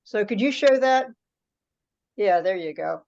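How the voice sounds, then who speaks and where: Female academic, casual technical chat, medium pitch, muffled teleconference sound. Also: lively intonation, a little slow, gravelly, a little mellow. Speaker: academic in a group call. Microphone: teleconference call. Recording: dull lo-fi conference call audio.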